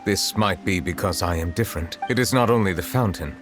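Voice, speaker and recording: Male actor as noble chief justice, deep voice, formal, reserved, measured, solemn, fantasy video game, music behind. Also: lightly acted. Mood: reflective, reserved.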